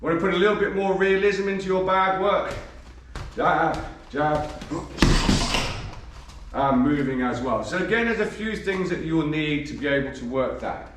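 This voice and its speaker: A man, a bit croaky from a cold, coaching boxing and counting punches as he demonstrates. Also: a little high, moderate pace, pauses often, background noise. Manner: demonstrates moves while talking, counting punches. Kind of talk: instructional demonstration. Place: gym. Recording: echoey gym, voice from a distance.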